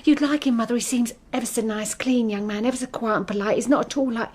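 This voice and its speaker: An actress playing an anxious, eager-to-please wife in a radio drama, timid and hesitant. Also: female voice, on the high side, steady tone, fast talker, a little mellow, natural voice. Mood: eager, placating.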